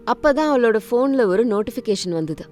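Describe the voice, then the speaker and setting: A woman's voice: a little high, expressive, normal speed, darkish tone, soft background music. A woman narrating a scripted family moral story in a dramatic storytelling delivery.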